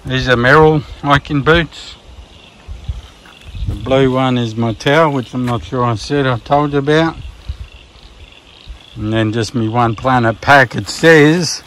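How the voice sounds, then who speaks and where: Australian man, laid-back vlogger, mid-pitched, rambling um-filled delivery, faint outdoor noise behind. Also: measured pace, pauses often, poor audio. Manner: relaxed, rambling, many ums and restarts. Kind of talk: casual hiking gear walkthrough. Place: outdoors at a campsite by a river.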